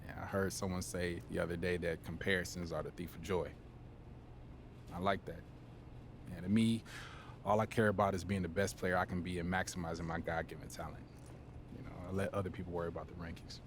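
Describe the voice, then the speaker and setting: Young American man, low voice, easygoing confident hoops rookie played by a male actor for a sports game, faint noise behind. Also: little pitch movement, fairly quick, natural voice. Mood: relaxed, diplomatic. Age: young adult. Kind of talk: naturalistic video game cutscene acting.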